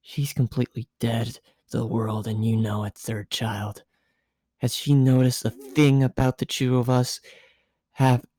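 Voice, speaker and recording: Man reading as a bossy, teasing teenage girl, mellow. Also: medium pitch, no room sound, natural voice.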